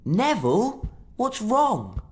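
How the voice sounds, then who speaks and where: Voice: medium pitch, wildly swooping pitch, takes it slowly. An actor playing an earnest, eager young schoolboy wizard in a video game. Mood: concerned. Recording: loud game music behind.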